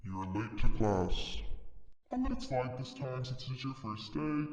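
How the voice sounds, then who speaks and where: A man's voice: mid-range voice, expressive, unhurried, darkish tone, soft background music, lightly acted. A man voicing a gleefully cruel monster schoolteacher in a video game, theatrical and swinging between chirpy and threatening. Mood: stern, menacing. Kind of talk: video game character acting. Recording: echoey hall, distant, some noise.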